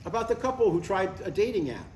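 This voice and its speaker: Older stubborn dad, guy reading the part, bit high voiced, eager and needling, echoey far mic at a comedy read. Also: big pitch swings, fast, darkish tone. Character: eager, stubborn, meddling retired father of an adult son. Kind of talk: live comedy script table read. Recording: echoey, distant live room with background noise.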